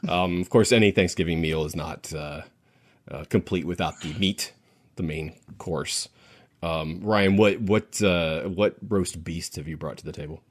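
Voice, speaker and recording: Casting: man, very low-pitched, casual podcast co-host, playful, relishes his descriptions. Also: measured pace. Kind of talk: casual playful panel chat.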